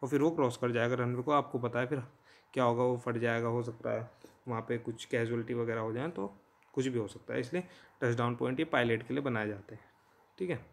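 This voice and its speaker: A man's voice: medium pitch, fairly even intonation, a little fast, vocal fry. Indian man explaining informally, often checking in. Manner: conversational, frequently checks in with listeners. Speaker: teacher, explainer. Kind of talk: informal explanatory lesson in Hindi mixed with English terms.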